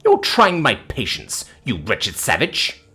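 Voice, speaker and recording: A man's voice: fairly high pitch, wildly swooping pitch, a little fast, bright, forceful tone, slightly rough, faint music behind, pitched above the performer's own voice, exaggerated voice. A man voicing a haughty, indignant noblewoman in an audiobook, pompous and admonishing in manner. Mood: impatient. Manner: pompous, admonishing, ornate speech. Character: haughty, indignant, scolding noblewoman, a snobbish busybody.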